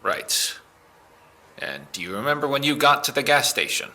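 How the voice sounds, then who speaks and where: A man's voice: medium pitch, big pitch swings, normal speed, bright, forceful tone, full character voice. A man playing a skeptical police interrogator who asks pointed, clipped questions in an audio drama. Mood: probing. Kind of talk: naturalistic audio drama acting.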